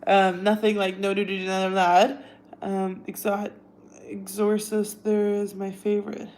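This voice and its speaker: A woman's voice: medium pitch, little pitch movement, unhurried, a little mellow, a little hiss or hum. A young woman from Russia with a Russian accent, chatting casually on a livestream and answering viewers' comments. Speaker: livestream host.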